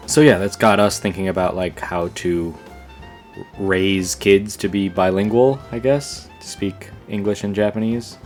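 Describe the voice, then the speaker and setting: A young man co-hosting a podcast, talking casually in a relaxed, rambling way with lots of fillers and restarts. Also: deep voice, a little slow, faint music behind. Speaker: podcast co-host. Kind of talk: casual conversation.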